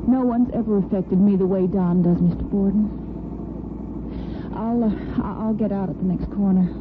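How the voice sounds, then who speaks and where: An actress playing a caring, emotional hospital nursing superintendent in a 1950s radio soap opera, earnest and hesitant. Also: female voice, medium pitch, fairly even intonation, normal speed, close to an everyday voice. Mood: tender, emotional. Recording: tinny, noisy old radio broadcast.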